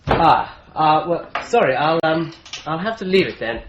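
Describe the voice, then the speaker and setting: A man's voice: a little high, lively intonation, measured pace, reverberant, dull audio, a little hiss or hum. An actor playing an obliging, wry young male office clerk in a radio drama, polite and faintly pompous. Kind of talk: 1950s-style BBC radio drama.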